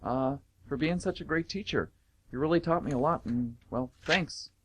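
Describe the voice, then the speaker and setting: A man playing a shy, grateful high-school student, halting and sheepish, in an amateur radio play. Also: fairly bright tone, a little hiss or hum, lightly acted.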